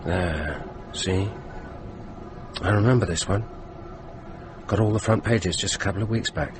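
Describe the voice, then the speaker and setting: A low-pitched British man, stranded and morbidly curious, in a radio play. He needles his companion with a dry edge, over clearly noisy ambience. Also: a little slow. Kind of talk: radio drama acting.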